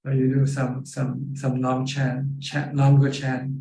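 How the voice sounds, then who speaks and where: A man with a Thai accent who teaches meditation, answering a caller's questions on a live video call in a calm, patient, plain instructive way. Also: mid-range voice, slow pace, vocal fry, roomy sound, slight background noise, low-quality recording.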